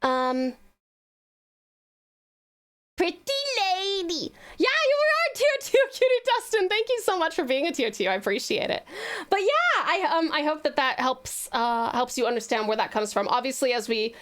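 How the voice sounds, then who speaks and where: A woman with a high voice hosts a casual livestream. She talks to her viewers in a chatty, gushing way, sweet and full of endearments. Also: animated, moderate pace, a bit bright. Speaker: livestreaming virtual streamer host.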